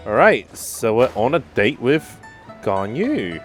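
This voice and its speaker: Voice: medium pitch, sing-song, slow. A gamer giving casual let's play commentary, musing aloud and reacting in short murmured asides. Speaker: gaming video creator. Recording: game music behind the voice.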